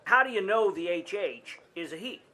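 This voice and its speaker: A man's voice: high voice, animated, moderate pace, bright, forceful tone. A man playing a pushy, needling magazine reporter in an old Hollywood radio play.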